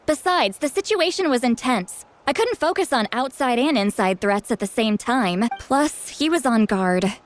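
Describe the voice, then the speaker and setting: Woman voicing a tribe mentor lady in a game, kinda high youthful voice, frank and protective, sure of herself. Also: animated, moderate pace, few pauses, soft background music, lightly acted. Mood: regretful, fond. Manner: candid, informal, self-assured. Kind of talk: video game voice acting.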